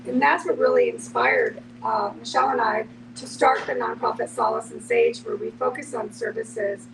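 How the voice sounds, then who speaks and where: A woman's voice: on the high side, moderate pace. A woman moderating a group discussion in a conversational, explanatory way. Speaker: moderator, nonprofit co-founder. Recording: distant mic with clear background noise.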